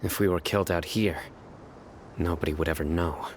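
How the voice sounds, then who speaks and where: An actor playing a stern, watchful young desert warrior in a video game, speaking in a terse, serious way. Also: male voice, sits low, fairly even intonation, normal speed, slight background noise, lightly acted. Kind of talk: game voice acting.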